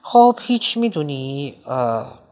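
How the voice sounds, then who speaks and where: Brief: male reader voices a nervy, mocking older man, pitched up, pleading and indignant. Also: big pitch swings, a little mellow, muffled recording. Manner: jittery, high-strung, wheedling. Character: rattled eccentric middle-aged man, pleading and mocking. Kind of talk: audiobook character voice.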